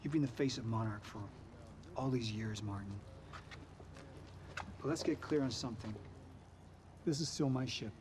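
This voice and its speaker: An actor playing a middle-aged corporate villain in a screen drama, terse, controlled and commanding. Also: male voice, mid-range voice, moderate pace, pauses often, background noise, close to an everyday voice. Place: large industrial warehouse.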